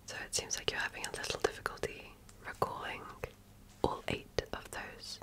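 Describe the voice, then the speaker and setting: A woman whispering softly and breathily in an ASMR medical exam roleplay, speaking as a gentle, attentive and reassuring clinician. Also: moderate pace. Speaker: ASMR creator playing an alien medical examiner.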